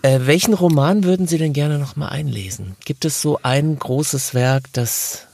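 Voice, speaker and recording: A man's voice: on the high side, normal speed, gravelly, darkish tone. A man hosting a podcast interview, putting courteous, conversational questions to his guest. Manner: courteous, formally addressing the guest.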